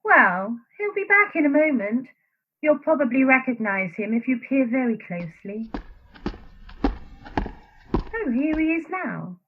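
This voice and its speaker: A woman's voice: high-pitched, big pitch swings, a little slow, long pauses, a little hiss or hum, full character voice. An actress playing an anguished, guilt-ridden woman in a secret love affair in a radio play, earnest and fretful. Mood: flustered, covering up. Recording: thin phone-like sound.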